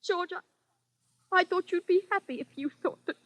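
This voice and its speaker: An actress playing a plaintive, affectionate, nagging young English wife, chatty and quick to protest, in 1940s radio drama style. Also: female voice, very high voice, a bit bright, no echo. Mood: anxious, coaxing. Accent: British. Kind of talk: 1940s radio suspense acting.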